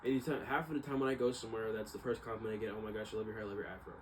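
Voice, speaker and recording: A man's voice: on the low side, steady tone, rapid-fire. A young man talking casually in a rambling, slangy monologue, often repeating himself and checking in with "right?". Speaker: vlogger. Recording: distant mic, clearly noisy background.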